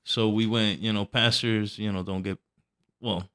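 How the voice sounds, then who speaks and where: A man's voice: on the low side, fairly even intonation, measured pace, fairly bright tone, clean tone. A man from Puerto Rico and Chicago, a podcast guest chatting casually in street slang with frequent conversational tags. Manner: slangy, punctuated with "you know what I'm saying". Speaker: podcast guest, event promoter. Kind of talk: casual autobiographical chat.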